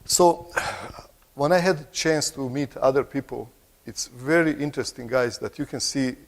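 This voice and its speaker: Gravelly-voiced Croatian man presenting in a hall. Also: mid-range voice. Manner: halting, restarts phrases, clears throat often. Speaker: conference presenter. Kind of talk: conference presentation. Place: large conference hall. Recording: long hall reverb.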